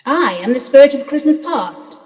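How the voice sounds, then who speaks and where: Female spirit guide, played by an actress, radio drama: higher pitch, composed, firm, gently reproving. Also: lively intonation, moderate pace. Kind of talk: radio play acting.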